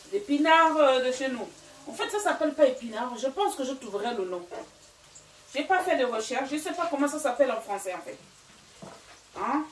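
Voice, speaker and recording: A woman's voice: sits high, reverberant, distant mic, slight background noise, low-quality recording. A woman from Gabon and Equatorial Guinea, French not her first language, chatting through a home cooking vlog with many fillers. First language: Fang or another local language of Equatorial Guinea. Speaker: cooking channel host. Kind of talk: casual cooking vlog talk. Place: home kitchen.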